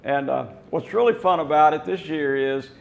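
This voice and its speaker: An older man with a hoarse voice, explaining building plans in a casual, rambling way with many fillers. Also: on the high side, expressive, normal speed. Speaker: company representative.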